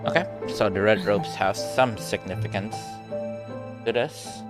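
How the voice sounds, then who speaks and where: A man's voice: fairly low pitch, a little slow, over loud music. A man doing casual live reaction commentary as a game streamer, rambling and thinking aloud.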